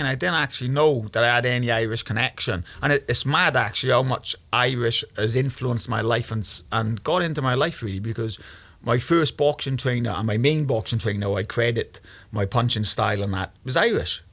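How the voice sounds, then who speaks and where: Welsh man, young adult, bright tense voice, swears a lot, animated storytelling. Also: medium pitch, fairly quick, creaky. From Wales. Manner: swearing, animated anecdotes, laughs at own stories. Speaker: guest, MMA fighter. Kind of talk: casual chat, storytelling.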